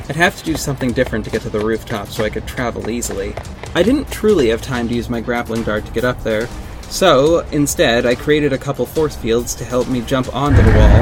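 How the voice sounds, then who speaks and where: A man's voice: medium pitch, close to an everyday voice. An actor playing an earnest masked superhero who narrates his own adventures in an audio drama. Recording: music under the voice. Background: music.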